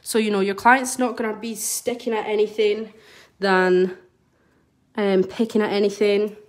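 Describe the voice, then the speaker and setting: A woman's voice: mid-range voice, level tone, measured pace. A woman from Scotland with a mild Scottish accent, a nail artist giving a live tutorial and chatting to viewers as she works, recorded on a phone over her desk. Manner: chatty, reads out viewer comments while working. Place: indoor nail studio desk. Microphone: phone camera over the work table.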